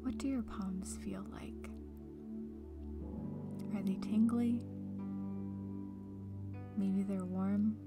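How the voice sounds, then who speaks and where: A woman speaks very softly and breathily, often close to a whisper, as she guides a meditation. Her pace is slow and calm, with long gentle pauses, and clear music plays behind her. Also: mid-range voice. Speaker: meditation guide, breakup coach.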